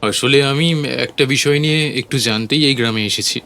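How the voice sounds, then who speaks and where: A man's voice: medium pitch, normal speed, pushed and bright. A man playing an earnest young writer, explaining himself in audio story dialogue. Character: earnest young male writer researching his novel.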